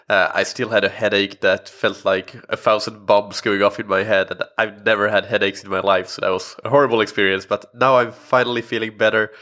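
A man's voice: fairly low pitch, sharp tone. Congested man hosting a podcast. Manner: many fillers and restarts, friendly and chatty. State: congested, recovering from illness. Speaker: podcast host. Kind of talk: casual solo podcast talk.

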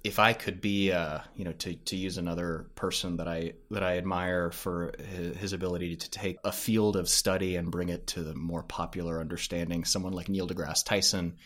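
A man's voice: low voice, flat delivery, brisk, hardly any pauses, a bit bright. A man speaking as a podcast guest in casual conversation, thinking aloud a little hesitantly. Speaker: podcast creator, interview guest.

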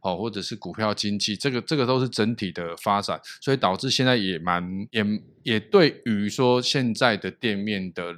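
A low-voiced man with a Taiwanese Mandarin accent hosts a podcast. He talks at length in a casual, explanatory way, laying out his points as he goes. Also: normal speed, few pauses, fairly bright tone. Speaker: podcast host.

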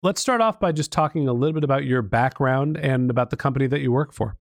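Man, podcast host, mid pitch, curious questions, self-deprecating, dry close sound. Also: fast, no echo. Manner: curious, openly self-deprecating about finance. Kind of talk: conversational interview.

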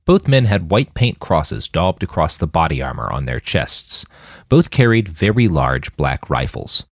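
Low deep guy reading a novel, really swingy intonation, dry close sound. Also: sing-song, measured pace, no echo. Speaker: audiobook narrator. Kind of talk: scripted fiction narration.

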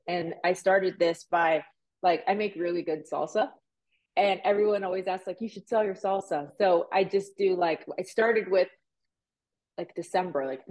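Chatty woman guest, low voice. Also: low-quality recording. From Puerto Rico, raised in Miami. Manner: chatty, animated, many fillers like "like" and "you know". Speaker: real estate agent, guest. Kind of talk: casual interview storytelling.

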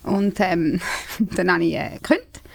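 A woman's voice: on the low side, unhurried, gravelly. A woman in her thirties with a Lucerne hinterland Swiss German accent, talking casually as a guest, chatty with frequent fillers and laughs. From Switzerland. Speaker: singer-songwriter guest. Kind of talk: casual conversation.

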